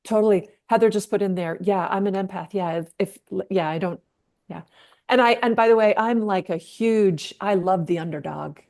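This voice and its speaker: A woman's voice: mid-range voice, moderate pace, darkish tone. A middle-aged woman hosting a casual online chat on a video call, bubbly and emphatic, often laughing. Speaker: host.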